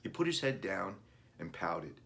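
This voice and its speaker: A man with a low voice narrates a children's story. He reads scripted prose aloud as the book's author. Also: sing-song, unhurried, creaky, bright, forceful tone. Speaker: author reading own book.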